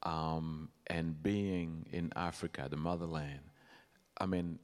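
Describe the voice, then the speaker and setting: A man from Haiti speaks in a reflective, halting way, pausing often as he searches for words. His voice is very low and carries a long reverb, as if picked up in a large hall. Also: steady tone, darkish tone. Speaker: church member, guest.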